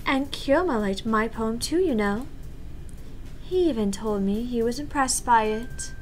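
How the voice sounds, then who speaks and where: A woman voicing a prim, shy high-school girl poet in a visual novel, formal in speech and stammering when flustered. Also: lively intonation, a little slow, a little hiss or hum. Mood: prim, defensive. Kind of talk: visual novel voice-over read aloud.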